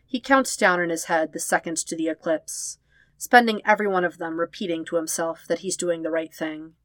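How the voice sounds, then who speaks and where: A woman narrating dramatic fan fiction as an audiobook, with expressive, measured storytelling. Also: lowish voice, no echo.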